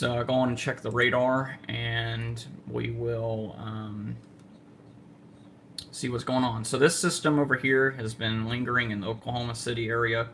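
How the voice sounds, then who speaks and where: Slow, halting man, gravelly voice. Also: mid-range voice, a bit bright. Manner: halting, hesitant delivery with trailing pauses. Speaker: amateur storm spotter livestreamer. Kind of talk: casual livestream chat to viewers. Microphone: phone, held or propped nearby. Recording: noisy phone livestream audio.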